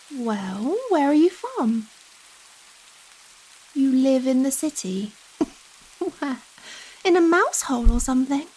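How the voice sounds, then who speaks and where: Woman acting a slow, high-voiced giant vampire seductress. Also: rough recording. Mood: concerned, coddling. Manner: slow, coy, coddling talk with pet names. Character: giant female vampire, gentle, teasing, possessive and dominant. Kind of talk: intimate ASMR roleplay acting. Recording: rain falling behind the voice. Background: steady rain.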